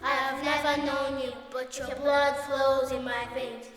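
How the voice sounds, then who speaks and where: A young girl reciting a poem in a solemn, measured way. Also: high-pitched, level tone, reverberant, in a large hall, over music. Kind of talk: poetry recitation.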